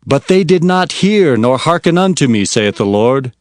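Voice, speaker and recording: A man's voice: mid-range voice, lively intonation, moderate pace, no echo, natural voice. An actor playing an earnest prophet recounting his visions, in solemn and dramatic scripture narration. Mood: stern, challenging.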